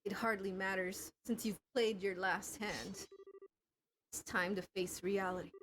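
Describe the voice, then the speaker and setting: A woman's voice: medium pitch, steady tone, a little slow, a bit bright, slight background noise, pitched below the performer's own voice, close to an everyday voice. A woman voicing a confident, stern adult adventurer in amateur fantasy game dubbing, firm and composed.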